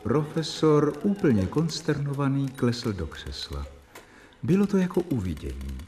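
A man takes on the measured, storytelling voice of a first-person narrator in a radio play. His pitch moves with lively variety, and faint accordion music plays underneath. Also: lightly acted.

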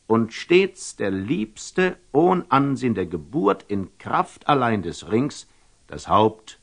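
An actor playing a wise, wary older merchant in a classical German radio drama, speaking verse deliberately and theatrically, often falling to a whisper. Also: male voice, on the low side, full character voice. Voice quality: husky, gravelly, often dropping to a whisper. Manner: deliberate, ruminative, theatrical storyteller with dramatic pauses.

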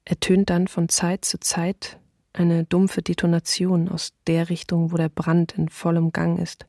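A woman playing a sombre eyewitness of wartime occupation in a documentary radio play, measured and matter-of-fact. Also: lowish voice, completely flat delivery, warm tone, no room sound, studio quality. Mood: hushed, grave.

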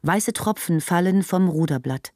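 Woman, literary narrator, radio play, slightly low pitch, prominent music bed. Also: measured pace, warm tone. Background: instrumental music.

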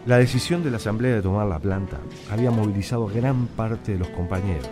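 An actor playing a pensive, guarded Argentine factory militant, telling his story in a measured, blunt way in a radio drama. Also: on the low side, music in the background, close to an everyday voice. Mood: reflective. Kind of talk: dramatic first-person radio narration.

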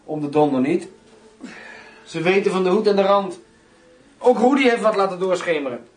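Blunt bodyguard, slightly off-mic. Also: male voice, sits high, animated, normal speed, recorded from a distance. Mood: emphatic. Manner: blunt, matter-of-fact, colloquial. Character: sober, streetwise male bodyguard and loyal undercover partner. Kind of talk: Dutch radio drama acting.

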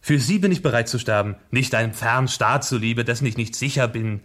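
A man playing a principled, proud revolutionary in a radio play, speaking with earnest, deliberate stage diction. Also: mid-range voice, a bit bright, close to an everyday voice. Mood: earnest conviction. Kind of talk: dramatic radio play acting.

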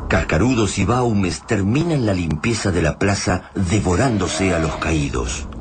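Deep, solemn Argentine radio-drama narrator. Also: male voice, lively intonation, measured pace, noisy background. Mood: grave, ominous. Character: grave narrator of an alien invasion saga.

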